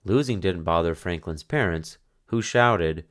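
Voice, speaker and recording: A man reading a children's picture book aloud as narrator, in expressive storytelling style. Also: low voice, unhurried.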